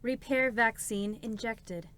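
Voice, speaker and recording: A woman voicing a formal, unfeeling support robot, with clipped, procedural, deadpan delivery in a dramatic reading. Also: a little high, slow pace, background noise. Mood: impassive. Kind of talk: dramatic reading of game characters. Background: music.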